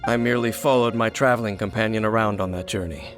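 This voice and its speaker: An actor playing a solemn, enigmatic, weary wanderer in a video game, speaking in a measured, formal, grave way. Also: male voice, fairly low pitch, fairly even intonation, over music, lightly acted. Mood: grave, pensive.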